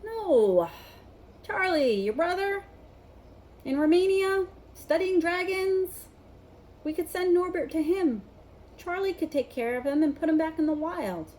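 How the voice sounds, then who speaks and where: A woman's voice: extremely high pitch, animated, a little slow, darkish tone, pitched above the performer's own voice, rough recording. A woman reading a storybook as an earnest schoolboy, urgent and persuasive. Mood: excited.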